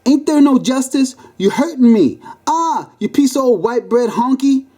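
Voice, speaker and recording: A man's voice: very high voice, expressive, moderate pace, warm tone, voice pushed up. A man voicing a hysterical heavyset woman from a memoir, shrieking and pleading melodramatically as she resists. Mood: indignant.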